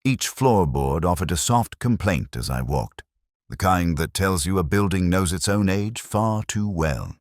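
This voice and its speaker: A man playing a brooding, observant police detective, narrating his case in a measured, solemn, literary manner for a mystery drama. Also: low-pitched, lively intonation, no echo, studio quality. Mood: somber, observant. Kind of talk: scripted first-person mystery narration.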